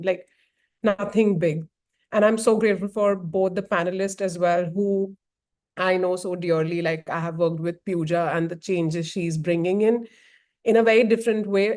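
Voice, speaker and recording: A woman's voice: medium pitch, a little mellow. A woman from India moderating a webinar over a video call, earnest and rambling, with frequent fillers.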